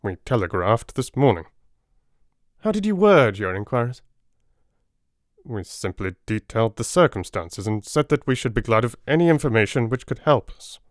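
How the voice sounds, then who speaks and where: A man portrays a proud police detective in an audiobook drama. His mid-pitched voice is stiff and curt, defensive and a little disparaging, with very little room sound. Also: very animated, moderate pace, no echo, clean studio sound, natural voice. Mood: defensive, offended. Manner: curt, offended, depreciatory. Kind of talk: dramatic audiobook character acting.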